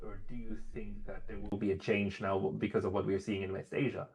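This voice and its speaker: A man's voice: fairly low pitch, little pitch movement, moderate pace, darkish tone. A man hosting a conversational interview and putting questions to guests. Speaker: host, interviewer.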